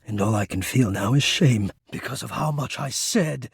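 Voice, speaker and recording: An actor playing an anxious, lovelorn, poetic spirit of the ductwork in a video game, speaking in a theatrical, florid, halting and earnest way. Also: male voice, mid-range voice, vocal fry, husky. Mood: ashamed, frightened. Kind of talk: video game character acting.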